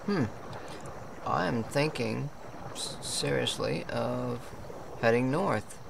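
A man's voice: mid-range voice, slow pace, gravelly, background noise. A man streaming a game, roleplaying a polite, hesitant character with a put-on French accent and many fillers. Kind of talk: in-character roleplay chat and live gameplay talk.

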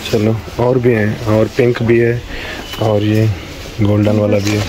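Family vlogger, male, 40s, lowish voice, chatty running commentary, phone at arm's length, noisy background. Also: level tone, unhurried. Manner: chatty, narrates every step, urges others to hurry. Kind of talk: casual running vlog commentary. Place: in a car, at home and in a supermarket. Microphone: phone camera held at arm's length. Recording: noisy handheld phone audio.

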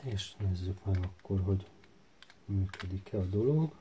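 A man narrating a hands-on tutorial casually, hesitant and halting as he thinks aloud while working. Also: sits low, warm tone. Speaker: DIY tutorial host. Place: indoor workbench. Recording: faint background noise with handling clicks.

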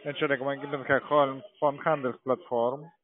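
A male telemarketer with a foreign accent. He speaks in broken grammar at a middling pitch, through a narrow phone line. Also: fairly even intonation, moderate pace. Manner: broken, non-native grammar.

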